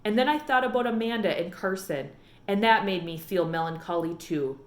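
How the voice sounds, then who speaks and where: A woman teacher narrating a children's storybook as an expressive read-aloud. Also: mid-range voice, normal speed, echoey room. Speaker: elementary teacher.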